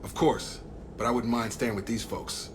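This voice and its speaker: An actor playing a loyal, easygoing soldier in a video game, speaking casually like an old comrade. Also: male voice, mid-range voice, steady tone, moderate pace, lightly acted. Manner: casual, familiar. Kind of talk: video game cutscene acting. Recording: clear ambient noise behind.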